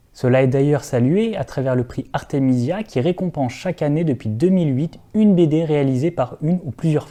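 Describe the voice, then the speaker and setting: A man's voice: fairly high pitch, dark tone. Earnest young man narrating a video essay. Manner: earnest, lightly ironic asides to viewers.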